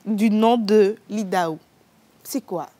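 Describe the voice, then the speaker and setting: A woman's voice: medium pitch, slow, warm tone. A woman from Togo hosting a TV talk show, asking questions hesitantly with many fillers. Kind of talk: TV studio interview.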